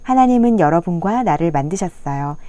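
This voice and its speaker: A woman narrating scripted Bible stories for small children in a gentle, explanatory way. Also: on the low side, animated, brisk, muffled recording. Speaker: storyteller.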